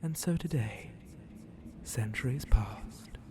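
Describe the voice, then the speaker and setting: A man narrating a scripted ASMR story in a solemn, measured whisper. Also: medium pitch.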